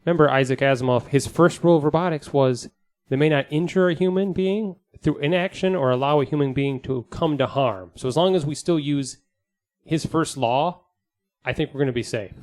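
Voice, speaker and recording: A man co-hosting a podcast in casual chat, enthusiastic and sweary, with halting phrasing. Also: on the high side, darkish tone. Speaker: podcast host.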